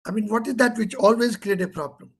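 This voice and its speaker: A man's voice: high-pitched, fast talker, slightly rough. A middle-aged man with an Indian English accent, giving an interactive online lecture, asking questions and repeating key terms for emphasis.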